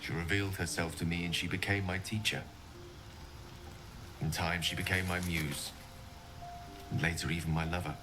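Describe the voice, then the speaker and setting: Guy playing a wistful wizard in a game, deep voice, really eloquent and measured, music underneath. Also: fairly even intonation, natural voice. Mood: wistful, proud. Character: eloquent, wistful male wizard, once a prodigy. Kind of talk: dramatic video game monologue.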